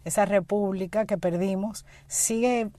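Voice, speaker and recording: A woman's voice: medium pitch, steady tone, unhurried, warm tone. A middle-aged woman co-hosting a talk show, often chiming in to agree. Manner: affirming, chimes in often. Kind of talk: casual studio talk.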